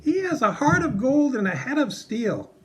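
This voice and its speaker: A man's voice: extremely high pitch, very animated, normal speed, pitched above the performer's own voice, low-quality recording. A man voicing a vain, easily offended operatic pig puppet in a children's puppet show, with a melodramatic manner. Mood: cheerful. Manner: melodramatic, self-pitying.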